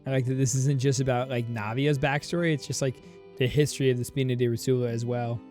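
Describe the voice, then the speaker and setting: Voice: medium pitch, fairly even intonation, fast talker, mellow. A streamer giving casual, playful, rambling commentary while reacting to a game. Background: game music.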